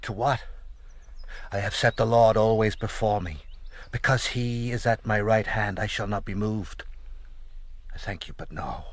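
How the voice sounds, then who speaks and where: A man acting in a radio drama as a fervent, eccentric preacher with a husky voice, given to declaiming scripture. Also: fairly low pitch, measured pace, long pauses. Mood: devout resignation. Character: pious, fervent psalm-quoting preacher, eccentric and resigned.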